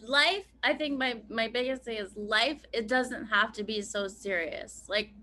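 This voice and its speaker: A woman's voice: a little high, unhurried, sharp tone, slight background noise. A woman in her thirties from Pennsylvania, a podcast guest talking casually with many "like" fillers and easy laughter. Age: 30s. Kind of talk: casual conversation.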